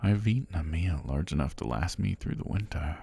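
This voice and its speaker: Casting: male actor as ancient giant snake deity for fantasy ASMR; very low, gravelly, unhurried, sinister and faintly amused. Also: animated, slight background noise. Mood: softly menacing. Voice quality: husky, breathy, gravelly. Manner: slow, deliberate, formal, softly menacing.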